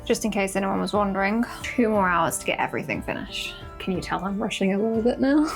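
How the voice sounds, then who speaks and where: A woman's voice: medium pitch, animated, fast, gravelly, over music. A woman chatting informally and self-deprecatingly as she narrates a craft vlog about her own making. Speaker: costume-making vlogger.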